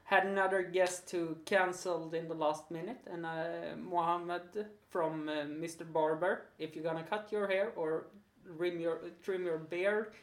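A woman's voice: fairly low pitch, steady tone, measured pace, echoey room. A woman with a Swedish accent hosting a casual podcast interview, hesitant with many fillers and easy laughs. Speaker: podcast host.

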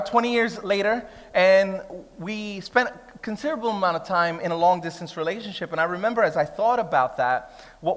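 High-voiced New pastor, reverberant hall. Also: male voice, a bit bright. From Brooklyn, New York. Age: 30s. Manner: conversational, humorous preaching that builds to emphatic declarations. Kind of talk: sermon. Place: church hall. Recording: long reverb of a church hall.

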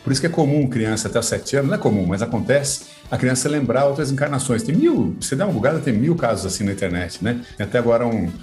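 Fast-talking São man in his fifties. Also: mid-range voice, expressive, a little mellow, soft background music. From Sorocaba, São Paulo state, Brazil. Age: 50s. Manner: chatty, colloquial, frequent tag questions. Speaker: podcast guest. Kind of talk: casual conversational explaining.

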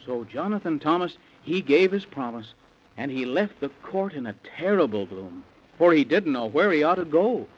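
A man's voice: on the high side, big pitch swings, normal speed, slight background noise. A man narrating a rhyming children's bedtime story in theatrical, sing-song 1930s radio style, on an old recording. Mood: dramatic storytelling. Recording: dull, band-limited 1930s radio recording.

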